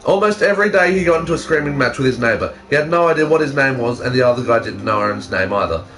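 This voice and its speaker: A man's voice: mid-range voice, expressive, quick pace. A man co-hosting a casual fan fiction reading, with dry teasing, plenty of swearing and an amateur read-aloud style.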